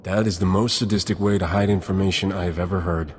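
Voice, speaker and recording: A man's voice: deep voice, fairly even intonation, fast talker, slight background noise, natural voice. A man voicing a cocky, blunt smuggler captain in a video game, with a sardonic manner. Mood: scornful. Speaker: game protagonist actor. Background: game music.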